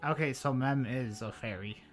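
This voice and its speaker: Male game streamer: mid-pitched, casual, jokey rambling, faint game music underneath. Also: moderate pace. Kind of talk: casual live game commentary.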